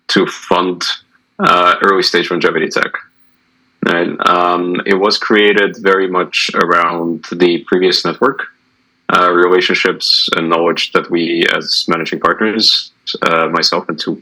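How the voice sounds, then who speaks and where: Man from Latvia, very low pitch, bright edge, measured explainer over a video call. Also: poor audio. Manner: measured, explanatory, many filler "uh"s. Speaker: venture capital fund partner. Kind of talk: remote interview answers.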